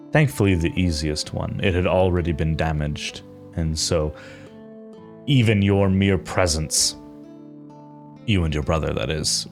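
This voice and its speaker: A man voicing a grave, determined, authoritative mother in a tabletop game, speaking in a solemn, measured way with long pauses. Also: sits low. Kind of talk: improvised tabletop roleplay.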